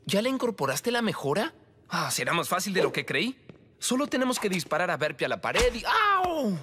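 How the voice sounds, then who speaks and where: A man's voice: sits high, very animated, moderate pace, slight background noise, heavily acted. A man with a Latin American Spanish accent voicing a brave, eager teenage boy hero in a cartoon dub. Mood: determined, eager. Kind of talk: animated series dubbing. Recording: loud music behind.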